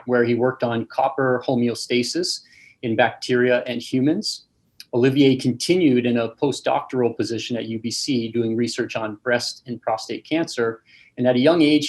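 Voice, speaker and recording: Man hosting a webinar. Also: mid-range voice. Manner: reads a formal introduction, then casual housekeeping with fillers. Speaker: webinar host, campus manager. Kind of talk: introducing a webinar speaker. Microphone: video call.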